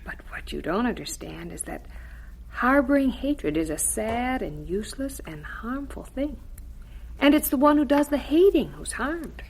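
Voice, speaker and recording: A woman playing a gentle, devout mother in a radio drama, soothing and softly admonishing. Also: fairly high pitch, very animated, unhurried, gravelly, breathy edge, exaggerated voice, poor audio. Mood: earnest, admonishing.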